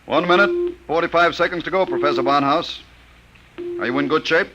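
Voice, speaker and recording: An actor playing a brusque army general with a clipped, authoritative manner in an old radio drama. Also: male voice, a little high, brisk, heavy background noise, natural voice. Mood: tense, focused. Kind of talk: 1950s radio drama acting. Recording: muffled old radio broadcast with loud music.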